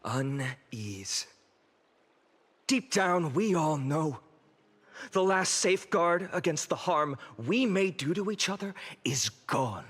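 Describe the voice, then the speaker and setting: Earnest young game hero, high-pitched for a male, slow rhetorical delivery, big hall reverb. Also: expressive, a bit bright, slightly rough, heavily acted. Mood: grave, solemn. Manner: solemn, measured, rhetorical. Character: earnest young heroic leader addressing his city. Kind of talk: solemn public oration in a fantasy game. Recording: long hall reverb with music behind. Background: music.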